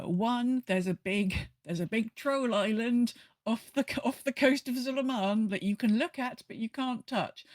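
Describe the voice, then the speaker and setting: A woman's voice: mid-range voice, dry recording. Woman co-hosting a casual podcast, dry close sound. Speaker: podcast co-host. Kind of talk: casual gaming podcast chat.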